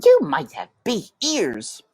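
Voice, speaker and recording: Lady doing a know-it-all boy mouse from a kids book, scoffing, pitch jumps way up. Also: huge pitch swings, slow pace, dark tone, husky, dry recording, heavily acted. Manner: scoffing, emphatic. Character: cocky, scoffing older brother mouse.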